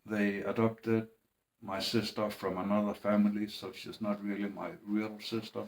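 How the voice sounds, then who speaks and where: A man speaking in a halting, hesitant way, with restarts and long pauses, in a personal monologue. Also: fairly low pitch, flat delivery. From Greenland. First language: Danish.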